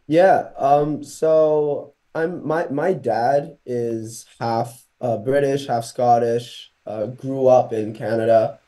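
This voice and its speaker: A young man from Portugal, a college tennis player, answers interview questions over a video call in a relaxed, hesitant way with many pauses, speaking through a laptop mic. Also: mid-range voice. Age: young adult.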